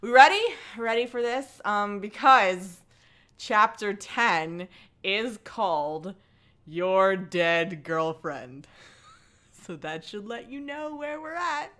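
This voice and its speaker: Bright-voiced, playful woman livestreamer. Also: mid-range voice, expressive, takes it slowly. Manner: playful, irreverent, swears casually; chatty, often breaks off into asides. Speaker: livestream host reading a book aloud. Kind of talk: casual livestream chat mixed with mocking dramatic read-aloud.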